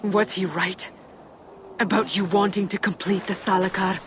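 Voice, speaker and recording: A woman playing a proud, defiant giantess, a tyrant's daughter, measured and wry in a video game cutscene. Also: medium pitch, flat delivery, very noisy, natural voice. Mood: cool resolve.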